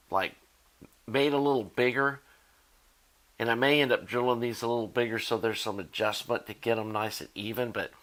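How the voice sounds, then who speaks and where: A man's voice: mid-range voice, fairly bright tone. A man, casually explaining a do-it-yourself fix for his tape deck in a folksy, rambling way. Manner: folksy and rambling, many "you know"s. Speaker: electronics DIY video maker.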